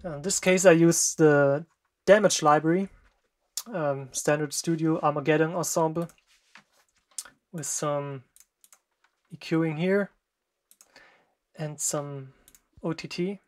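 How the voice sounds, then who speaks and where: A native German speaker with a German accent walks through a production project at an unhurried pace. The delivery is hesitant and halting, with frequent fillers and short pauses. The voice sits in an ordinary middle pitch, and the tone is relaxed and self-deprecating. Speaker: music producer. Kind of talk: screen-recorded production walkthrough.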